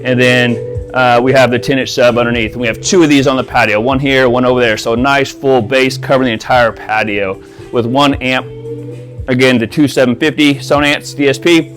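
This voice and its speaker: A man's voice: mid-range voice, steady tone, fairly quick, fairly bright tone, faint music behind. A man presenting his audio installation work in a casual, enthusiastic walkthrough, talking informally to viewers outdoors. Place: outdoors by a pool and patio.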